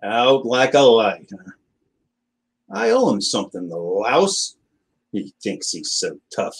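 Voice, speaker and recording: A man's voice: lowish voice, big pitch swings, slow, gravelly, exaggerated voice. An actor playing a sullen, spiteful young hired gunman with a slangy, sneering manner in a 1940s-style radio drama.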